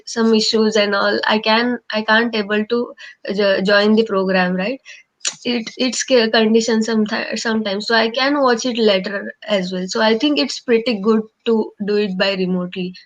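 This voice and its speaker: A woman's voice: a little high, fairly even intonation, normal speed. A woman with an Indian accent, a programme participant, speaking hesitantly with many restarts over a video call. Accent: Indian English. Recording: muffled video call audio.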